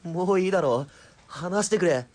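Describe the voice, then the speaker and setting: A man's voice: sits high, lively intonation, moderate pace, a little mellow. An actor playing a captured military policeman in an audio drama, defiant but cornered, blustering and grudging.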